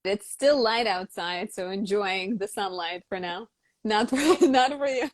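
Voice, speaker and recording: A woman's voice: medium pitch, a little slow, no echo. A woman hosting a casual live chat about astrology, talking easily with lots of filler words. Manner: chatty, frequent "like" fillers. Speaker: astrologer and channel host.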